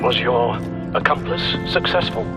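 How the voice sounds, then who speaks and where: An actor playing a loyal, calm older butler in a video game. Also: male voice, medium pitch, normal speed, lightly acted. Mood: attentive. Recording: loud music under the voice.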